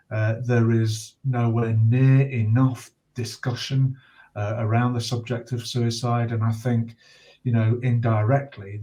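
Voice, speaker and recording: A middle-aged or older British man speaking reflectively in conversation as a guest, earnest and measured, with hesitant pauses. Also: lowish voice, mellow. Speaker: interview guest, bereaved father and campaigner.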